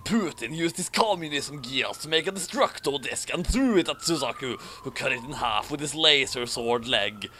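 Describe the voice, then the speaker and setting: A man's voice: medium pitch, expressive, normal speed, hardly any pauses, bright, forceful tone, slight background noise. A man hosting a commentary, reading a fan fiction aloud in an amused, casual way. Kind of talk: dramatic read-aloud mixed with casual commentary.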